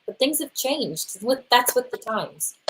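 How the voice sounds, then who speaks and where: Woman as a prim, high-voiced librarian. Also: very animated, unhurried, a little hiss or hum, rough recording. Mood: guarded, weary. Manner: prim, guarded. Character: guarded, timid young woman librarian, easily alarmed. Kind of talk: live radio play acting.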